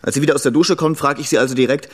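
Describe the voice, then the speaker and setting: An actor playing a bitter, defensive boyfriend in a radio crime drama, talking bluntly and colloquially. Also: male voice, mid-range voice, fairly even intonation, racing, natural voice. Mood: troubled recounting. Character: defensive, bitter, rough-edged boyfriend of a nurse.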